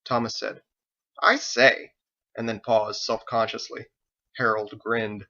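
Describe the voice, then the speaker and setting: A man's voice: fairly low pitch, level tone, measured pace, vocal fry, fairly bright tone. A man reading scripted audiobook narration as the author of the story, on a slightly lo-fi recording. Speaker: author reading his own book.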